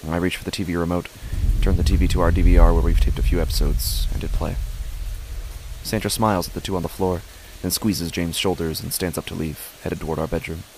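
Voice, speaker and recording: An uneasy dad narrating a horror story, really low voice, measured, pauses a lot, bit of hiss. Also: male voice, fairly even intonation, vocal fry, a little mellow, rough recording. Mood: grave, uneasy. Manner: deliberate, with dramatic pauses. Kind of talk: scripted first-person horror narration.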